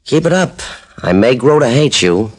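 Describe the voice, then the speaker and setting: A man's voice: mid-range voice, very animated, normal speed, husky. An actor playing a smug, sarcastic male nightclub owner in a 1950s radio drama. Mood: sneering. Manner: sneering, wisecracking. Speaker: club owner.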